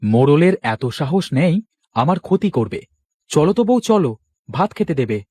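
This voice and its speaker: A man's voice: a little high, normal speed, dry recording. A man playing a stubborn, honest village sugarcane farmer in a children's cartoon, speaking emphatically. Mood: reassuring. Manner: emphatic, animated. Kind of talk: children's cartoon story acting.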